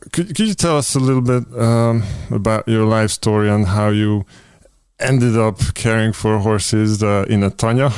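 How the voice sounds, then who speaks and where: A man hosts a podcast interview, speaking in a somewhat low, smooth, resonant voice. He stops and restarts often, leaning on fillers as he frames his questions. The sound is dry and close, with no room around it. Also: a little mellow, no room sound. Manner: hesitant, many fillers and restarts. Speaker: podcast host. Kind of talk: online interview.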